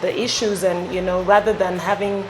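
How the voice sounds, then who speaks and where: A woman's voice: lowish voice, level tone, noisy background. Hesitant middle-aged woman founder. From Ghana. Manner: halting, many fillers and restarts. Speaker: company founder, interview guest. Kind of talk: casual interview answers. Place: showroom with electric bikes. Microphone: lapel.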